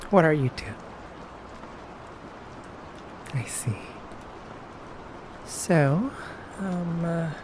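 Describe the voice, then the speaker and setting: A man playing a menacing, teasing male vampire captor in an ASMR roleplay, speaking softly and hesitantly. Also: on the high side. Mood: coy, probing. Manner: slow, hesitant, teasing, quietly threatening. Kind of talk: ASMR roleplay addressed to the listener. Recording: clearly noisy background ambience.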